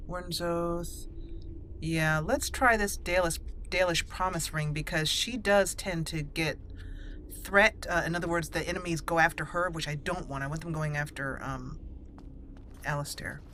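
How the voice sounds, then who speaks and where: Chatty woman doing bright game commentary. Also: on the low side, a little slow, pauses often, a little hiss or hum. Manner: chatty, playful, laughs at her own jokes. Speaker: gaming channel host. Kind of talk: casual gameplay commentary. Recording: faint game music behind.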